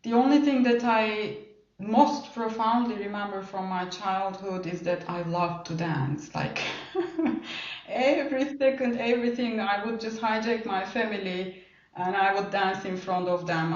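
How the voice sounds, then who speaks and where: A woman's voice: mid-range voice, unhurried. A middle-aged woman from Turkey with a Turkish accent, telling her own story conversationally as an interview guest. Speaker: podcast guest. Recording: roomy, distant, muffled mic.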